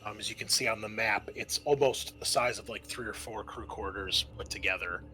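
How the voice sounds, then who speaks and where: A man running a tabletop game, describing scenes in a halting, conversational way. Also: on the low side, sharp tone. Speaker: game master. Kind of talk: improvised tabletop game narration.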